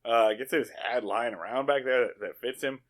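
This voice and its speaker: A man's voice: medium pitch, normal speed, fairly bright tone. A man co-hosting a podcast in casual, comedic recap style, swearing and acting out lines in his own loose paraphrase. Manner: profane, acts out dialogue in paraphrase. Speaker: podcast co-host. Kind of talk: casual comedic recap chat about a TV show.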